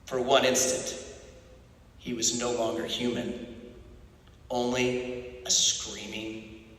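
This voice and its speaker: Guy reading a novel dramatically, real slow w/ suspenseful pauses, theatrical, very echoey. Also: mid-range voice, flat delivery, fairly bright tone. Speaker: narrator, dramatic reader. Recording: very echoey, reverberant room.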